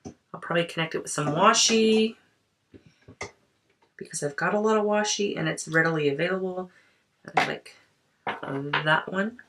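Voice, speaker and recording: Woman vlogger, lowish voice, a bit off-mic, cold in her voice, chatty crafting talk. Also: normal speed, pauses often, recorded from a distance, background noise. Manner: chatty, thinks aloud, frequent restarts. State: voice affected by a cold. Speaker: creativity coach. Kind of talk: casual crafting vlog.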